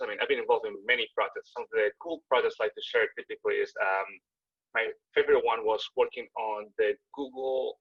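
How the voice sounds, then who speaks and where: Man, engineer, easygoing, husky, low voice, muffled lo-fi call audio. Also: dry recording. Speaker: software engineer and panelist. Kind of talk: casual panel introduction.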